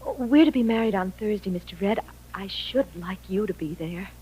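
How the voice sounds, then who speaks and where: An actress playing an eager, polite young bride-to-be in an old-time radio western. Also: female voice, medium pitch, normal speed, warm tone, husky, natural voice, rough recording. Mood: shy, eager. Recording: vintage radio drama recording.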